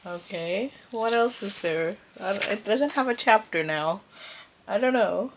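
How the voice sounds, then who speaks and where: A woman's voice: medium pitch, a little slow, a little hiss or hum, low-quality recording. A woman talking informally in a reading vlog, thinking aloud and reacting as she goes. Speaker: book vlogger.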